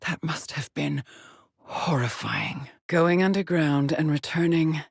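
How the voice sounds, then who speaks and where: An actress playing a haunted, weary woman astronaut stranded alone on an alien world in a video game, with a breathy voice and a grave, brooding delivery. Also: low voice, fairly even intonation, slow, no room sound, clean studio sound, full character voice. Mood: grave, contemplative. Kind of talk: dramatic game voice lines, monologue logs.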